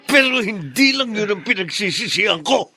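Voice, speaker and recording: A man with a Filipino accent playing a wrathful, wounded middle-aged father in a radio drama, with a rough voice. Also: high voice, lively intonation, moderate pace, pushed and bright, exaggerated voice. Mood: outraged, bitter. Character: wrathful, wounded father scolding his grown son.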